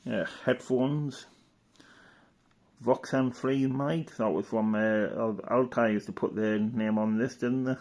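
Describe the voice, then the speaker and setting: A man's voice: mid-range voice, steady tone, slow, a little mellow. A man giving casual, rambling show-and-tell commentary as a hobbyist, matter-of-fact and fond of tag questions. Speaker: hobbyist presenter.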